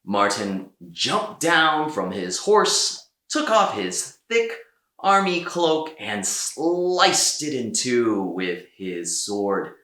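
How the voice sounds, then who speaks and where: A man narrates a children's read-aloud with expressive, dramatic pauses. His delivery is unhurried and very animated, with a bright tone in an echoey room. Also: medium pitch. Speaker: storyteller.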